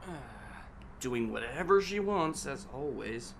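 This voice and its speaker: Man acting a deadpan, sardonic teenage boy. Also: mid-range voice, measured pace, creaky, a little hiss or hum. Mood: dry, weary. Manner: dry, terse, deadpan. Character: deadpan, sardonic teenage schoolboy, weary and puzzled. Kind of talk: anime fan dub acting.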